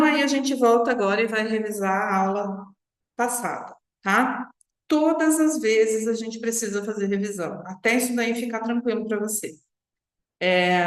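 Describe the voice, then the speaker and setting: A Brazilian woman giving an online English lesson as a teacher, instructive and often checking in with short tag questions. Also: fairly high pitch. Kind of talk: online language lesson to viewers. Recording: roomy, echoing sound.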